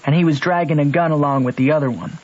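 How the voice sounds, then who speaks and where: A man's voice: on the high side, quick pace, darkish tone, close to an everyday voice. An actor playing a country boy with a Southern accent, telling his adventures plainly and colloquially in a radio drama. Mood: reflective storytelling. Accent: American Southern. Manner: plain, colloquial rural speech. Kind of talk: storytelling narration in old radio drama. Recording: dull old radio broadcast.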